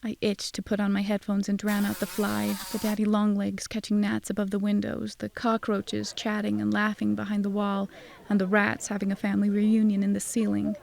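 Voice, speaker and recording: A woman's voice: mid-range voice, monotone, moderate pace, vocal fry, darkish tone, slight background noise. A woman narrating a scripted first-person audio drama in a wry, sardonic manner.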